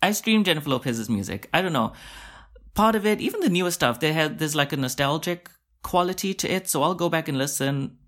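A man's voice: fairly high pitch, lively intonation, a little fast, fairly bright tone. A young man hosting his own podcast, rambling in a chatty, self-mocking way with lots of asides. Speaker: podcast host. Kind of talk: casual solo podcast monologue.

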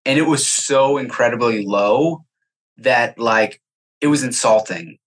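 A man's voice: mid-range voice, a little slow. Guy on a podcast, sales exec type, normal mid voice, casual, tells it in little quotes. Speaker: podcast guest, software sales executive. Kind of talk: casual interview chat, anecdotal.

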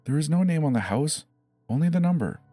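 A man's voice: on the high side, animated, brisk, dark tone, dry recording, pitched above the performer's own voice. A man acts out a frightened seven-year-old boy in a horror story, with a raised voice. He is hesitant and pleading, tripping over his words. The sound is dry, with music underneath. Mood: anxious. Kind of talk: dramatized horror story narration.

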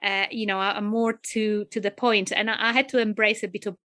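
A woman with an Italian accent, a psychiatrist answering interview questions thoughtfully, with frequent pauses and fillers. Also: mid-range voice, little pitch movement, bright, forceful tone. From Italy. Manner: thoughtful, hesitant with many fillers. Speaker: psychiatrist guest.

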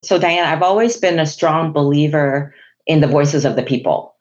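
A woman from Hong Kong whose first language is Chinese, a guest answering interview questions in a measured, businesslike way. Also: low-pitched, dull audio. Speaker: guest, HR executive.

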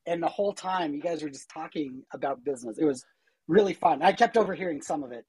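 A woman with a husky voice telling a chatty anecdote full of fillers and laughter. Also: deep voice, fast talker, crowd noise. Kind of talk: casual anecdote.

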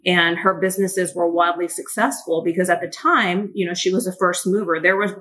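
A woman's voice: on the low side, low-quality recording. A Mandarin-woman, candidly telling her life story as an interview guest. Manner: candid, blunt, energetic. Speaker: guest, company founder.

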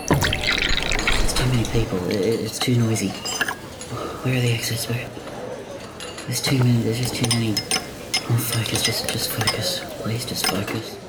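An anxious, fretful man played by a male actor talks to himself in an audio drama, halting and stammering as he repeats his worries. His mid-pitched voice sits in an echoing space with noise around it. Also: fairly even intonation, lightly acted. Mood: overwhelmed. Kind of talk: first-person inner monologue in audio drama. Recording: noisy, echoing room sound.

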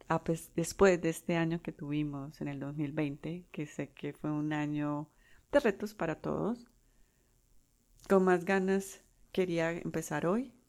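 A Colombian woman in her forties hosting her own podcast, talking unscripted with pauses and restarts. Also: low voice, a little mellow. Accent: Colombian Spanish. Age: 40s. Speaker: podcast host. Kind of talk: solo podcast monologue.

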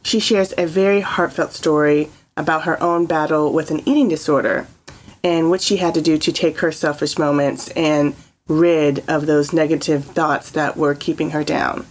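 A woman hosts a casual interview and introduces her guest. Her voice sits a little low, and she sounds enthusiastic and laughs a lot. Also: fairly even intonation, normal speed, rough recording. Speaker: interview host.

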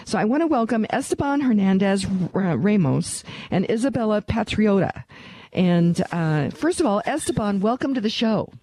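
A woman's voice: mid-range voice, expressive, moderate pace, mellow, dry recording. A woman hosting her own talk radio show. She is earnest and emphatic and repeats her key phrases.

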